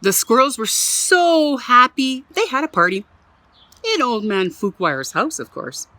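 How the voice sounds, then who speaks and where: An animated woman storyteller reading a picture book aloud to children, dropping chatty asides between pages. Her pitch rises and falls all over the place. The recording is close and dry. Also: measured pace, no echo. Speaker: museum storyteller.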